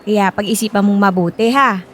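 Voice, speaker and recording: An actress with a Filipino accent playing a supportive, chatty former classmate in a radio drama, coaxing and reassuring. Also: female voice, mid-range voice, fairly quick, close to an everyday voice. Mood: friendly, persuasive. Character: encouraging, chatty former classmate, a woman working at a school.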